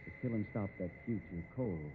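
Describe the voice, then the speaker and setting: An actor playing a steady, plain-spoken cowboy sleuth with folksy American speech in 1950s radio drama style. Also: male voice, fairly low pitch, brisk, natural voice. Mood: reasoning, firm. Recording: muffled, hissy 1950s radio recording.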